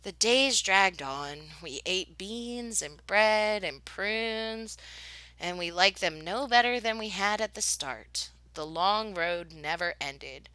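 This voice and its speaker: Bright-voiced woman reading a storybook aloud. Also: medium pitch, slow pace, no echo, low-quality recording. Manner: dramatic, with whispered suspense and excited exclamations. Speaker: teacher reading a picture book aloud. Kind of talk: expressive children's storybook read-aloud.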